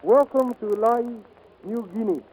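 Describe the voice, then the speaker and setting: A man's voice: extremely high pitch, measured pace, darkish tone. A man playing a polite, guarded hotel proprietor in a 1940s radio drama, courteous and careful. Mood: smooth, guarded. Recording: noisy, muffled 1940s radio recording.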